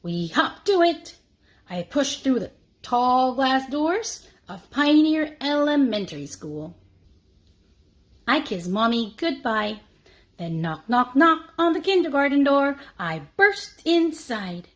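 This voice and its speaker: A woman reading a children's storybook aloud in an expressive, deliberate preschool-teacher manner. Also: sits high. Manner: animated, deliberate.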